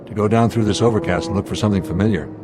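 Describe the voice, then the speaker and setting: An actor playing a steady airline captain in a radio drama, speaking with measured authority and a wry edge. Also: male voice, on the low side, noisy background, natural voice. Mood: grave, decisive. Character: steady, commanding male airline captain under pressure.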